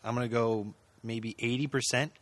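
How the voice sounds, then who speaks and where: A man's voice: medium pitch, steady tone, moderate pace, dry recording. A man co-hosting a podcast in casual chat, explaining things at length. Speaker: podcast co-host.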